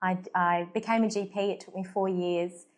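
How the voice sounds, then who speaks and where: A woman's voice: lowish voice, steady tone, normal speed. A woman in her thirties with an Australian accent, a doctor hosting her own show, talking earnestly to camera about how she came to her work, with frequent fillers. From Portugal. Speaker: holistic doctor, show host. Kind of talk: personal story to camera. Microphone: facing the camera.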